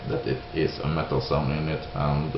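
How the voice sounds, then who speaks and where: A man from Sweden with a Swedish accent, giving an unscripted opinion monologue in halting English, hesitant and searching for words. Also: a bass voice, little pitch movement. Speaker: video creator giving opinions. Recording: roomy, dull lo-fi sound with hiss.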